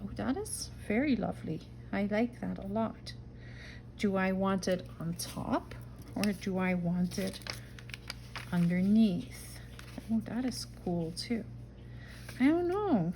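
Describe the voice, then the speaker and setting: Slow-spoken Dutch-accented woman crafting. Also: mid-range voice, slight background noise, low-quality recording. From Netherlands. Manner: chatty, talks to herself while working, soft murmurs and whispers. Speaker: junk journal crafter. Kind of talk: casual craft-along narration.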